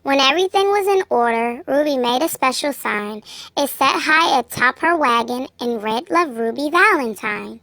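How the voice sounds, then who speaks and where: A woman reading a children's storybook aloud, with measured, expressive phrasing for young listeners. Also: sits high, dry recording. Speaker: children's book reader. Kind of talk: storybook read-aloud narration.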